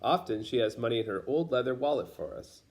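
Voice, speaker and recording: A man reads a picture book aloud for children in a medium-pitched voice. He narrates the story in simple phrases, as a read-aloud storyteller does for young listeners. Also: animated, a little fast, creaky, darkish tone. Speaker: storybook reader.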